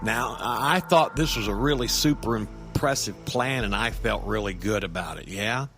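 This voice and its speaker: A man playing a hesitant, earnest everyman who tells his creepy story in a halting, folksy, conversational audio drama monologue. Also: lowish voice, fairly bright tone, soft background music. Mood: proud, scheming.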